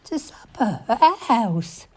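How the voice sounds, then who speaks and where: A woman acts a cheerful, unlettered servant in a Shakespearean audio play. Her delivery is unhurried and exclamatory, with very lively pitch. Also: breathy edge, full character voice. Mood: chirpy. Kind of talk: Shakespearean audio drama acting.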